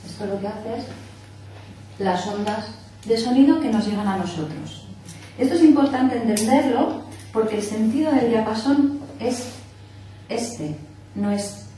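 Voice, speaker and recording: A woman's voice: medium pitch, warm tone. A woman, explaining to a small workshop group and checking in often to make sure everyone follows. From Barcelona area, Spain. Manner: explanatory, checks in often with "¿vale?", demonstrating with hands. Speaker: workshop instructor. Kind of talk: informal teaching talk to a small group. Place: small indoor meeting room. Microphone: far camera. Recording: echoey room from far off, faint hiss.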